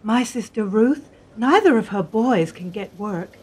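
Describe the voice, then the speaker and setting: A woman's voice: on the high side, lively intonation, a little slow, a little mellow, husky. A woman playing a chatty, gossipy bank worker. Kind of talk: amateur radio play acting.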